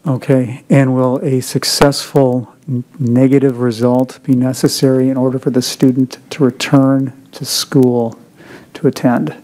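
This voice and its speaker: A man's voice: medium pitch, level tone, unhurried, warm tone, poor audio. A man on a school board asking methodical follow-up questions. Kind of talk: public meeting questioning.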